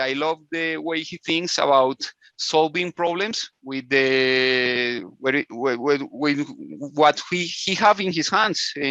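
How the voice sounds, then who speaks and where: A man from Colombia talks in English with a Spanish accent, bright and slightly high in tone. He restarts his sentences often and laughs easily. The dry, muffled audio comes from a video call. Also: no echo. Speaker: guest inventor.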